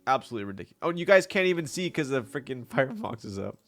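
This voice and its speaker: A man's voice: medium pitch, big pitch swings, rapid-fire, a bit bright, faint music behind. Congested man chatting on stream. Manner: chatty, greets viewers, laughs often. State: congested, stuffy nose. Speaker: live streamer building a keyboard. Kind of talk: casual live-stream chat.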